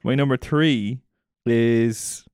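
A man's voice: mid-range voice, steady tone, drawn-out words, darkish tone, clean tone, no room sound, clean studio sound. An Irish man chatting casually as a podcast co-host, blunt and sweary, grumbling jokingly in loose banter. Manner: blunt, sweary, jokey complaining.

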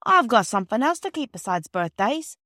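A woman's voice: on the high side, very animated, brisk, pushed and bright, no echo, studio quality, pitched above the performer's own voice, full character voice. A woman voicing a friendly railway porter in his thirties, plain-spoken and homely, in a storybook reading. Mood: genial, confiding. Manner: homely, ungrammatical, brief rustic speech.

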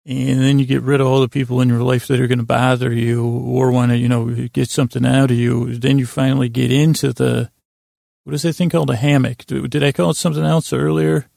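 Man, heavy vocal fry, halting rambling sleep podcast host, digressive, very dry close recording. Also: medium pitch, level tone, dark tone, no room sound. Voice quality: heavily creaky, gravelly. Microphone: close podcast microphone.

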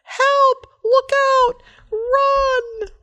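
A woman voicing a determined male delivery truck in a read-aloud picture book story, earnest and turning frantic and squeaky when panicked. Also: animated, slow pace.

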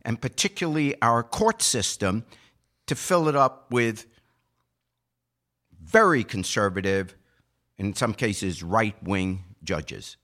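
A man giving political commentary as a podcast co-host, explaining his points in an emphatic, argumentative way with deliberate phrasing. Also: lowish voice, animated, sharp tone.

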